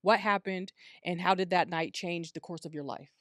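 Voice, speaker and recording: A man's voice: high voice, moderate pace, a bit bright. Affirming male podcast host, dry sound. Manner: affirming, echoes guest with "that's right" and "yes". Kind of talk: interview host.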